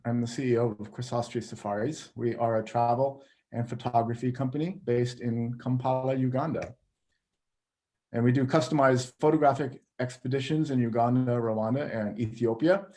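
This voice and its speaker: A man's voice: mid-range voice, fairly even intonation, normal speed, a little mellow. A man hosting a video call, presenting a slideshow talk in a friendly, plain way. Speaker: travel photography company head, presenter.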